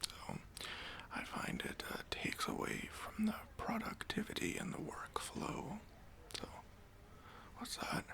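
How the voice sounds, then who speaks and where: A man playing a stingy, demanding boss in an ASMR roleplay, speaking in a breathy, hesitant whisper with condescending politeness, close to the microphone. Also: sits high, huge pitch swings, slow pace, bright, forceful tone, heavily acted. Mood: condescending, fussy. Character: stingy, demanding male boss giving a job interview.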